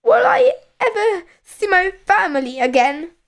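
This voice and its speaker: A woman's voice: extremely high pitch, sing-song, measured pace, gravelly, voice pushed up, full character voice. A woman voicing a timid, earnest young boy in a children's storybook, in an exclamatory, nervous way. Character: timid, hopeful young boy out fishing among pirates.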